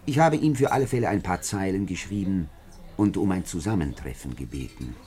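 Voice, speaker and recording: A self-important politician in a vintage radio play. The voice is deep but swings widely in pitch, with pompous, deliberate emphasis on his phrases. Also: animated, mellow. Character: self-important, indignant male French parliamentary deputy. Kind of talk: 1950s German radio drama acting.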